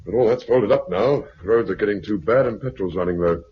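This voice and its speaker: An actor with a British accent playing a smooth, officious, condescending self-appointed commander in a 1950s radio drama. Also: male voice, fairly low pitch, a little fast, natural voice. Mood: coolly authoritative. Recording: muffled, hissy 1950s radio recording.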